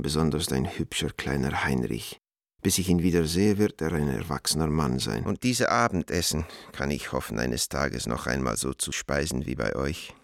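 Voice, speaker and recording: Deep voiced middle-aged bachelor, nostalgic and warm, radio play letters, very dry close sound. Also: male voice, vocal fry, dry recording, full character voice.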